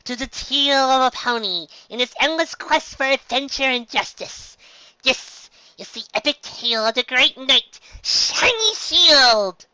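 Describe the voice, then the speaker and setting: High, bright, theatrical pony mare. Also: lively intonation, measured pace, smooth, no echo, lo-fi sound, exaggerated voice. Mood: mock solemn. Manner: dramatic, bouncy, over-emphatic. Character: exuberant cartoon pony mare singing a mock-heroic ballad. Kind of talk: theatrical sung storytelling.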